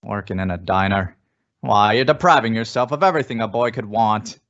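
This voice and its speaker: A man's voice: fairly low pitch, fairly quick, a bit bright. A man playing a folksy, insinuating former small-town police chief in an old-time radio suspense drama, coaxing and persuasive. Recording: dull, lo-fi audio.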